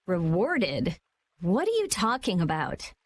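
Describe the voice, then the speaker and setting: A woman voicing an irritable, sharp-tongued teenage girl scholar in a video game. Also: on the low side, huge pitch swings, slow, slight background noise, full character voice. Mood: annoyed, grudging. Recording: music under the voice.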